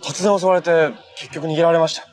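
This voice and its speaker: An actor playing a cheerful, earnest, easygoing young hero in a TV drama, talking in a casual, boyish way. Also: male voice, fairly high pitch, steady tone, quick pace, lightly acted. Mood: earnest, thoughtful. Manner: casual, friendly, boyish phrasing. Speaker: actor in a TV superhero series. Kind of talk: naturalistic TV drama acting.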